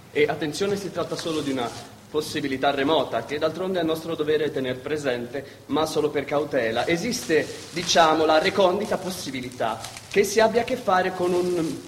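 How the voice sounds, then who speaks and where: A man's voice: fairly high pitch, lightly acted. A man acting on stage as an overcautious medical spokesman, guarded, wordy and full of qualifications. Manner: guarded, circumlocutory. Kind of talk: live theatre acting. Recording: noisy, echoey theatre hall.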